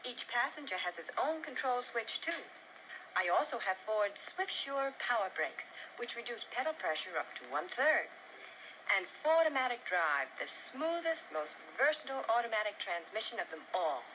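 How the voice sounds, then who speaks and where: A woman giving a chatty, demonstrative scripted sales pitch in the style of a 1950s television car commercial, on a vintage soundtrack. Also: very animated, normal speed, pushed and bright, a little hiss or hum, poor audio. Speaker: commercial spokeswoman.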